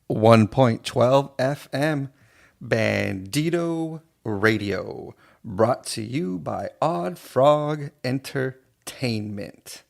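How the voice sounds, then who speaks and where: Slow, very gravelly male radio DJ. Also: mid-range voice, expressive. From East Los Angeles, United States. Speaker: radio DJ and host. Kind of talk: laid-back radio show introduction.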